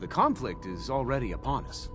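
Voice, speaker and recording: A man's voice: medium pitch, wildly swooping pitch, brisk, over music. A man playing a firm, businesslike scientist board member in a video game, formal and insistent. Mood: grave, insistent.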